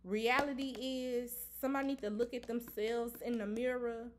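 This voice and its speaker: A woman's voice: a little high, steady tone, moderate pace. A woman giving a casual tarot reading to camera, blunt and emphatic, repeating her points and swearing freely. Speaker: tarot reader. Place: indoor room at a table.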